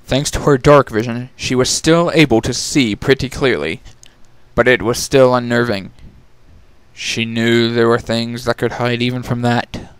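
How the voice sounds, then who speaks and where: A man's voice: medium pitch, fairly even intonation, unhurried, clean tone, dry recording. A man narrating a fantasy story as a dramatic reading.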